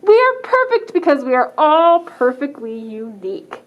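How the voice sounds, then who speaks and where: A woman voicing a chorus of prim, matching pigeons in a slow, chanting, sing-song read. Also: high voice, very animated, highs cut off. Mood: proud.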